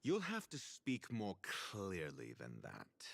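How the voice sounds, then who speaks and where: A male actor playing a haughty, sardonic scholar in a video game, speaking in an arrogant, deliberate, dry manner. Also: low voice, huge pitch swings, vocal fry, no echo, full character voice. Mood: disdainful. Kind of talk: scripted video game dialogue. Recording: music behind the voice. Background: music.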